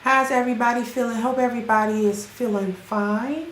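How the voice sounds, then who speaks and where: A woman hosting her channel, chatting casually with viewers and greeting them by name, her voice sounding hoarse. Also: a little high, fairly even intonation, fairly quick, slight background noise. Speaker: channel host. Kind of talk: casual livestream commentary. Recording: roomy echo with faint music.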